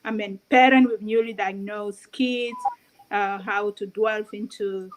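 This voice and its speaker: A woman's voice: mid-range voice, fairly even intonation, a little slow, background noise. A woman from Cameroon introducing herself as a guest on a video call, with many hesitations. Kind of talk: online talk show guest.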